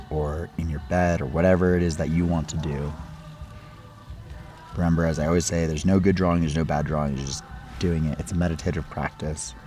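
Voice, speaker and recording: A man losing his voice to a cold, chatting in a relaxed, meditative way as he sketches outdoors beside a hot spring. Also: low-pitched. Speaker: art vlogger. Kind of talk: casual travel vlog narration. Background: faint local music.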